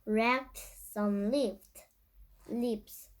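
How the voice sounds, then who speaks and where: A child host reading a picture book aloud to viewers in a halting, deliberate way. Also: mid-range voice, steady tone. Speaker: storyteller and video host.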